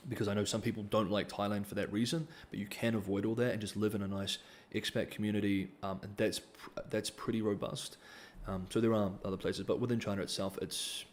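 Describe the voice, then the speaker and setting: A man's voice: on the low side, steady tone, normal speed, a little hiss or hum. A man, hosting a casual livestream Q&A and reading donor comments, hesitant, with many ums and restarts. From New Zealand. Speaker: livestream host.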